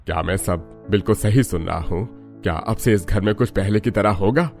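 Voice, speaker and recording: A man's voice: deep voice, moderate pace, faint music behind. A man voicing a middle-aged father-in-law in a cartoon family story, with plaintive phrasing. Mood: hopeful disbelief.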